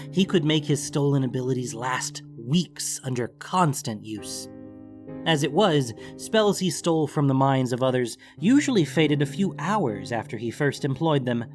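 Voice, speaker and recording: Brief: male narrator for a fantasy novella, mid-range voice, scripted storytelling over faint music. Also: mid-range voice.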